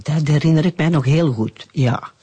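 An actress playing a very old, sharp and candid Flemish nun in a radio drama. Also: female voice, sits low, normal speed, husky. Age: older.

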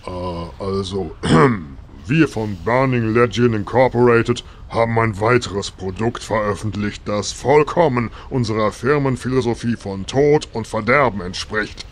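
A man's voice: on the low side, measured pace, close to an everyday voice. A man playing a pompous corporate demon lord. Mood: smug corporate. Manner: corporate, self-important spokesman tone. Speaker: demon lord being interviewed. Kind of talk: parody audio drama.

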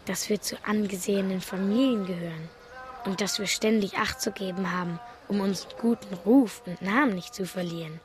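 Eine Schauspielerin spricht in einem literarischen Hörspiel eine staunende, leicht spöttische Stimme aus dem Dorf. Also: female voice, medium pitch, a little fast, over loud music, lightly acted. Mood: wry, wondering. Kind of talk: literary radio drama.

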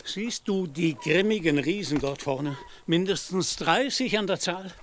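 Pompous, high-voiced deluded knight. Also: male voice, expressive, unhurried, slight background noise. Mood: confiding, eager. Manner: pompous, declamatory archaic speech. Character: grandiose, hot-tempered deluded old knight errant. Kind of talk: German radio play acting.

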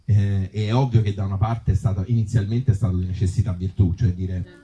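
A man with a Tuscan accent talking informally at a live event as an actor and author, in halting, circling phrases with blunt joking asides. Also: low voice, steady tone, mellow. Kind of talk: informal live talk with the audience. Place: outdoor evening event in an olive grove.

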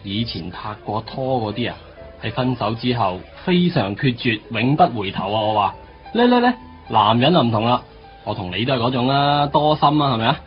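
A man's voice: mid-range voice, big pitch swings, moderate pace, close to an everyday voice. An actor playing a glib married buddy in his late twenties in a radio drama, lecturing chattily and emphatically about life in Hong Kong Cantonese. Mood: opinionated. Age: 20s. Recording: dull lo-fi radio recording. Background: faint music.

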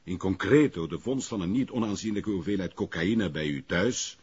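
An actor playing a pompous, evasive middle-aged burgomaster in a TV crime drama, with formal, wordy phrasing and a Flemish Belgian accent. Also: male voice, low-pitched, moderate pace, darkish tone, husky. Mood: formal, firm. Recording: muffled, lo-fi broadcast audio.

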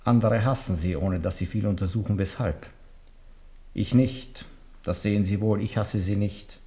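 Actor as old papal envoy, lowish voice, formal n deliberate, humble but menacing, radio play. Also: male voice, dark tone, lightly acted. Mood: quietly menacing. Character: bowed old papal legate, outwardly humble, coldly warning. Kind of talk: 1970s German radio drama acting.

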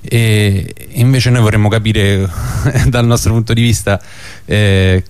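Male radio host, smooth and fairly low, commentary delivery, dry studio sound. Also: fairly even intonation, unhurried, darkish tone, no echo. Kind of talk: radio presenting, partly scripted commentary.

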